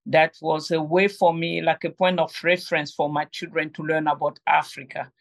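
Woman guest, middle-aged, gravelly tone, halting, lots of restarts. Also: deep voice, little pitch movement, soft background music. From Cameroon. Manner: hesitant, many fillers and restarts. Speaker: guest, game inventor. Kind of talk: conversational interview.

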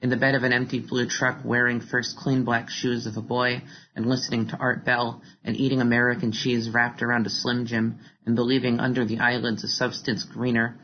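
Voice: mid-range voice, little pitch movement, brisk. A poet reading their own work aloud as a literary recitation, in a slightly dull recording. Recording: dull recording with faint noise.

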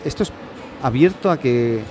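A man from hosts a casual chat, chiming in often. His voice sits at an ordinary pitch, with faint noise behind. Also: animated, moderate pace, mellow. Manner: chatty, interjecting host. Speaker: host.

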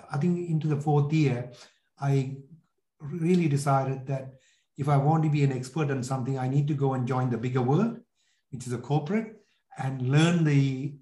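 Mellow older Sri Lankan man, echoey room. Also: medium pitch. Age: 60s. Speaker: podcast guest, entrepreneur. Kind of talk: conversational interview, telling life story.

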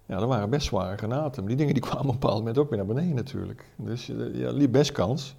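Gravelly, dark-voiced male historian. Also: mid-range voice, fast talker. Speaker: military historian, expert interviewee. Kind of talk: conversational explanation.

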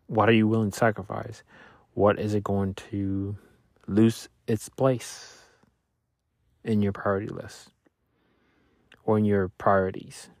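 A man in his thirties whose first language is Spanish, talking through his week in a thoughtful, halting way and often restarting his sentences. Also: fairly low pitch, no echo, clean studio sound. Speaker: podcaster reflecting on his week. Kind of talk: casual reflective monologue. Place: Louisiana.

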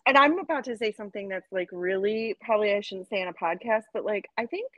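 A talkative woman in her thirties hosting a podcast, frank and animated, with "like" sprinkled through every sentence. Her voice sits at an ordinary pitch. The sound is dry and a little dull, as if from a modest mic. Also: fast, no echo. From United States. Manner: chatty, lots of "like", animated and frank. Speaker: podcast host. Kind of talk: casual chat.

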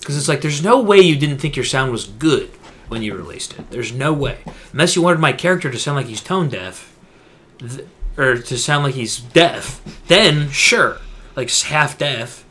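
A man's voice: medium pitch, expressive, moderate pace, bright, forceful tone. A man co-hosting a gaming podcast, chatting casually in an emphatic, slangy way and often repeating himself for effect. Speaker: podcast co-host.

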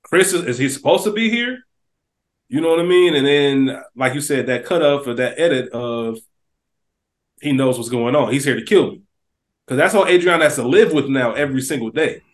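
A young man recaps a scene for his co-hosts, voicing the characters' inner thoughts with lively energy. His pitch sits in the middle range, and his manner is loose and conversational. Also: moderate pace. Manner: animated storyteller, acts out characters' thoughts. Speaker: podcast co-host. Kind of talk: casual chat.

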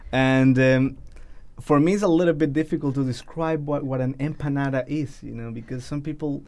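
A man's voice: medium pitch, fairly even intonation, moderate pace, darkish tone. Ecuadorian man interviewing a guest. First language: Spanish. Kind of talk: interview questions.

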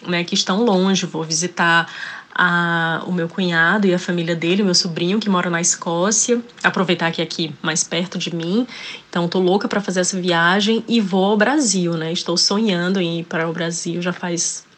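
A woman's voice: fairly low pitch, a bit bright. Hesitant Northeastern Brazilian woman. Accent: Northeastern Brazilian Portuguese. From Ceará, Northeast Brazil. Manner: hesitant, many fillers and restarts. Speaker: guest. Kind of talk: casual interview, reading passages aloud.

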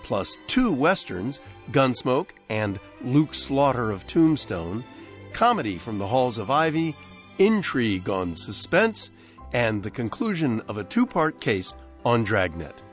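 A man's voice: medium pitch, very animated, measured pace, faint music behind. An American man hosting a radio show, reading a scripted introduction to vintage programs. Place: dry broadcast studio.

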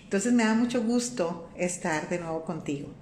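A Mexican woman hosts a faith-based live stream and talks to her viewers in a warm, conversational way. She greets people by name and keeps checking in with them. Her voice bounces around a roomy space, with faint room noise underneath. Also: fairly low pitch, normal speed. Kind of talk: casual live-stream talk on faith and life.

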